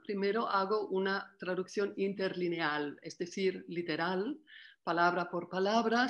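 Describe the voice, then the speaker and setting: An older woman, French by first language, speaks as a literary translator over a laptop webcam mic from a room at home. Her delivery is calm and reflective, laying out her process one step at a time. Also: medium pitch. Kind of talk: video call interview. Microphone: laptop webcam mic on video call.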